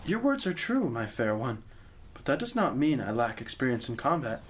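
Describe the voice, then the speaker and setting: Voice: mid-range voice, big pitch swings, normal speed, husky. An actor playing an earnest, impulsive young male knight in an audio drama, exclamatory and courtly in phrasing. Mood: concerned, gallant.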